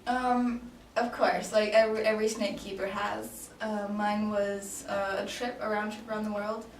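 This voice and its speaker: A woman's voice: mid-range voice, flat delivery, moderate pace. A woman in her late twenties, from Denmark, answering questions in a casual mock job interview, hesitant with many ums and restarts. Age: 20s. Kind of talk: casual improvised role-play interview. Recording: echoey room, mic at a distance, faint noise.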